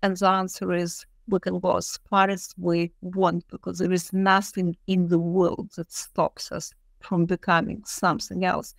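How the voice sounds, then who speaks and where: A woman's voice: fairly low pitch, little pitch movement, a little slow, creaky, few pauses, dry recording. A woman speaking unscripted as an interviewed academic, thoughtful and emphatic, repeating key phrases as she explains. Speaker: interviewed literature professor.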